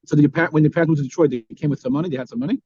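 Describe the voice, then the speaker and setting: Male host asking quick, clipped questions; a bit higher pitch, recorded dull and off-mic. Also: darkish tone, husky, no echo. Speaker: interviewer. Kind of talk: casual interview questions.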